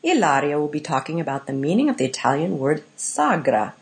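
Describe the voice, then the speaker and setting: A woman's voice: deep voice, very animated, brisk, breathy edge. A woman hosting a podcast in a scripted, upbeat way, mixing Italian phrases into her English. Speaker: podcast host.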